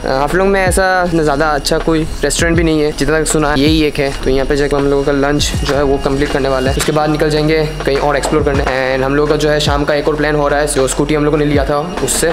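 A young man from India narrating a casual travel vlog, excitable and full of exclamations, mixing English words into his Hindi. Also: on the high side, fast talker, few pauses, over music. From Silchar, India. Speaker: travel vlogger.

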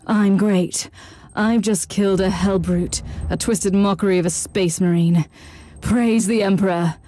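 An actress playing a resolute, dry-witted warrior inquisitor in a video game, composed and sardonic in manner. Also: female voice, mid-range voice, steady tone, a little slow, dark tone, a little hiss or hum, lightly acted. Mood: proud, composed.

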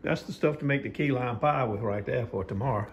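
A man's voice: mid-range voice, a little fast, a little hiss or hum. An older man narrating a casual home vlog, rambling and folksy, filming with a phone around his house and yard. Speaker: knife sharpener vlogger.

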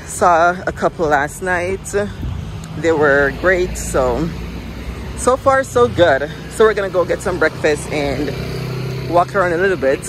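A woman's voice: fairly low pitch, lively intonation, a little slow, background noise. A woman vlogging casually to camera, chatty and upbeat, calling her viewers "you guys" as she walks the streets and sits in small cafes. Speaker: travel vlogger. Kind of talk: casual vlog chat to camera. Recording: loud background music over the voice. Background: loud music.

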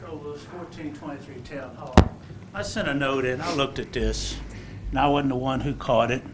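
A man's voice: mid-range voice, fairly even intonation, moderate pace, heavy background noise. An older man, a resident giving blunt, plainspoken public comment to the council. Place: council chamber. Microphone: table microphone.

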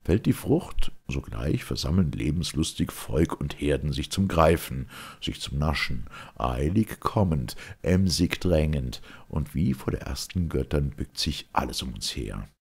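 A man with a gravelly, husky voice reciting classical dramatic verse in a solemn, theatrical, measured manner. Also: a bass voice, very animated, a little mellow. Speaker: reader of classic literature.

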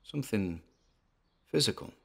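A gravelly, deep-voiced, uneasy paranormal investigator. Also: male voice, lively intonation, measured pace, a bit bright, full character voice. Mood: apprehensive. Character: uneasy male paranormal investigator narrating his tape log. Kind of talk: horror audio drama, first-person found-tape monologue.

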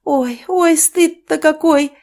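A woman voicing a frantic village mother who scolds loudly. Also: fairly even intonation, moderate pace, natural voice. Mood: frantic scolding. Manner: shrill scolding, wailing.

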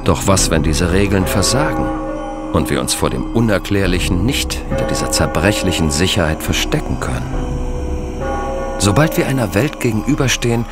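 Male horror series announcer: low, theatrical, menacing narration with dynamic inflection. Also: very animated, moderate pace. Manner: ominous, theatrical. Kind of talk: dramatic scripted horror trailer narration. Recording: loud scary music underneath.

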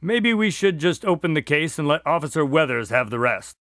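Earnest doctor sidekick for radio drama male actor, polite, plainspoken, lightish pitch with lively inflection. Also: a little fast, dry recording, clean studio sound. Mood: practical, cautious. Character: earnest, mildly puzzled male doctor, detective's practical sidekick.

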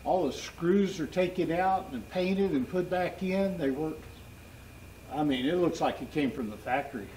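An older man chatting casually and haltingly as he works, thinking aloud. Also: a little high, animated, warm tone. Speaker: machine restorer and channel host. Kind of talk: casual chat while working. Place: open shop doorway beside a trailer. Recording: noisy workshop recording.

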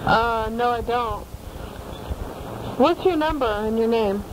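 A woman taking a phone order at a restaurant, brisk and businesslike. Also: on the high side, background noise. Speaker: restaurant employee.

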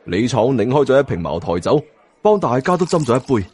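A man with a Cantonese accent narrating an audiobook in a dramatic, expressive storytelling style. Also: mid-range voice, brisk, slightly rough. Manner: expressive, acts out dialogue. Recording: music bed behind narration. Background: background music.

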